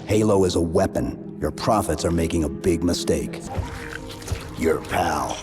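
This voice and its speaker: A man's voice: low-pitched, measured pace, music in the background. A man playing a stoic, terse armored soldier in restrained military science-fiction game style.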